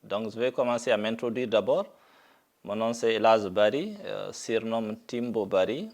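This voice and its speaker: A middle-aged man from Guinea making a deliberate public statement, speaking haltingly with frequent pauses and fillers. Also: on the low side, darkish tone. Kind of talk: slow, deliberate video statement.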